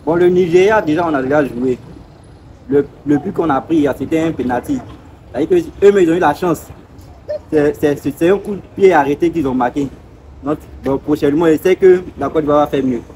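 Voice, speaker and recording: A man's voice: fairly high pitch, brisk, dark tone, rough recording. A man, answering casually in a street interview. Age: 20s. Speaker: interviewed passer-by. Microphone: handheld microphone. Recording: street recording with faint traffic noise.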